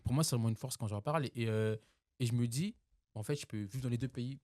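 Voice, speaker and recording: A man's voice: medium pitch, quick pace, darkish tone, dry recording, clean studio sound. A young man from Congo speaking earnestly and reflectively in a casual chat among friends. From Democratic Republic of the Congo. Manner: earnest, reflective, many fillers.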